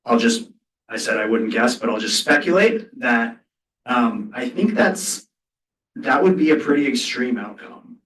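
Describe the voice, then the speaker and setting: A man's voice: medium pitch, very animated, measured pace, a bit bright. A man speaking as a trade lawyer on a panel, thinking aloud and hedging as he answers. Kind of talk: spontaneous panel discussion answer. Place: indoor university event hall. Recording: echoey room, distant mic.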